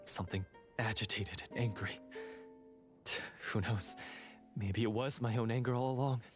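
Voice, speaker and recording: A man voicing a troubled, bitter male treasure hunter in a video game, speaking in a dramatic, halting way. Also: on the low side, music in the background, natural voice. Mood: shaken.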